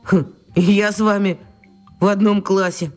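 A woman's voice: medium pitch, level tone, a little slow, soft background music, lightly acted. A woman playing a brash, touchy schoolboy in a children's radio drama. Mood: breezy. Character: brash, touchy schoolboy around ten years old.

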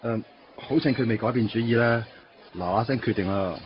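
A man's voice: medium pitch, brisk, background noise, close to an everyday voice. An actor playing a timid, earnest young man in a radio play, speaking Hong Kong Cantonese and stammering over his words. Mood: teasing. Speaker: supporting character in a radio play. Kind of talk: 1990s radio drama acting. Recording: dull lo-fi radio recording with music.

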